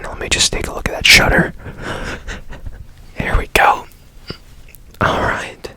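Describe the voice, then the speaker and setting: A man playing a strange, curious repairman who tends a broken robot in a gentle, breathy whispered ASMR roleplay, murmuring soothing phrases. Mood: soothing, focused.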